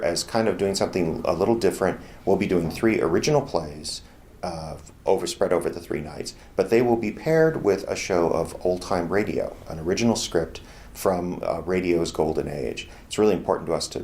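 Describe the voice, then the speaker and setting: Low-pitched American man, casual and thoughtful. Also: a little mellow, a little hiss or hum. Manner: thoughtful, conversational explaining. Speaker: radio theater founder and organizer. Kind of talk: casual promotional interview.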